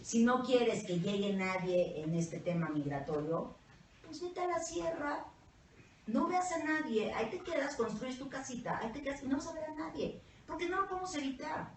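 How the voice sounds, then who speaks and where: A Mexican woman co-hosting a casual panel chat, blunt, profane and animated, with a Mexican Spanish accent. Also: sits high, normal speed, a little hiss or hum. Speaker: co-host. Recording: echoey room, mic at a distance.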